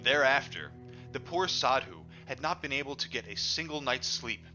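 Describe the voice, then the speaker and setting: A man's voice: mid-range voice, very animated, normal speed, pushed and bright. A man reading a book aloud as dramatic first-person narration, emphatic and theatrical, stretching the words he stresses. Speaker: audiobook reader. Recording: dull lo-fi recording with music behind. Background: music.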